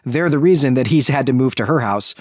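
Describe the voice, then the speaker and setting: Man with lively pitch recapping a book. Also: brisk, dry recording, studio quality. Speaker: podcast host. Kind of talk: conversational literary recap and commentary.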